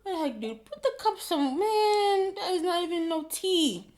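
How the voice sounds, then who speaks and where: A woman from Ghana co-hosting a casual advice chat, animated and teasing, laughing often. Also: very high-pitched, normal speed. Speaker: co-host.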